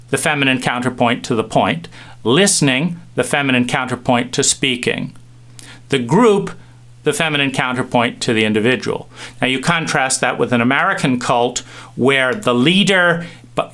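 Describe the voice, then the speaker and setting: A middle-aged man giving a reflective talk, musing with pauses and restarts as he tells stories. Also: medium pitch, sharp tone. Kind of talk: reflective monologue with anecdotes.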